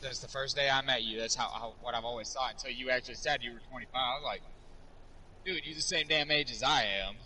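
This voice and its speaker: A man's voice: mid-range voice, steady tone, fast talker, sharp tone, slight background noise, low-quality recording. A man in his twenties streaming a game, chatting casually and telling rambling, profane stories. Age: 20s. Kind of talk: casual chat while gaming.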